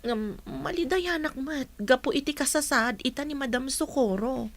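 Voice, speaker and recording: A woman's voice: high-pitched, normal speed, lightly acted. A woman acting a concerned young student teacher confiding in a friend in an Ilocano radio drama, with an Ilocano accent. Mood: wistful.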